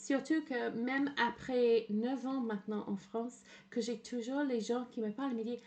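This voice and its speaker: A woman's voice: fairly high pitch, fairly even intonation, normal speed, darkish tone. An Englishwoman with an English accent, speaking French as a streamer in casual commentary, hesitant with many fillers and English words slipped in. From England. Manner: hesitant, frequent "euh" fillers, mixes in English words, laughs often.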